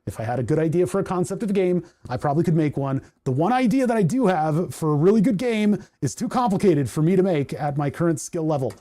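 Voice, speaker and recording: A man hosting a casual coding livestream, rambling and chatty with frequent laughs, his voice starting to go hoarse. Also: fairly high pitch, expressive, fast talker, hardly any pauses, mellow. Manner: rambling, chatty, laughs often, reads chat aloud. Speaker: livestream host.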